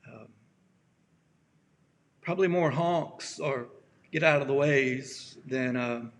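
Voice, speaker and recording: Man, pastor, raspy voice, very slow, halting gentle sermon, frequent pauses. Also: medium pitch. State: allergies acting up.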